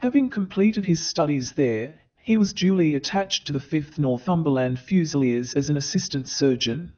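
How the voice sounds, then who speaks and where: Higher-voiced male storyteller on a muffled recording. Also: animated, normal speed, darkish tone, a little hiss or hum. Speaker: narrator. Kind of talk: theatrical scripted storytelling narration.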